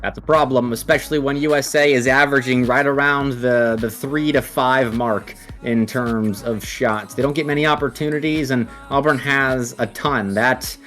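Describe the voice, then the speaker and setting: A man's voice: mid-range voice, steady tone, moderate pace, few pauses, a bit bright, faint music behind. A man giving live esports commentary and analysis, sometimes drawing out words as he works out his phrasing.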